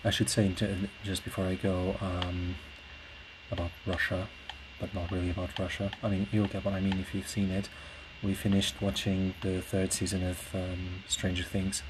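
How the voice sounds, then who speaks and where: A man, a native Portuguese speaker, talks alone in a low voice with little rise and fall. He rambles casually and thoughtfully, often pausing to find the right word, with clear noise behind him. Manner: hesitant, reflective, searching for words. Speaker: podcast host; classical singer. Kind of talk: casual solo rambling monologue. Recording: clear steady background noise.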